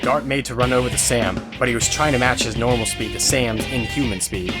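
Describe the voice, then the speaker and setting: A man narrates an action-filled audio drama from a script. His voice is medium in pitch. Prominent guitar music plays loudly under everything he says. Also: brisk. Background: loud music with guitar.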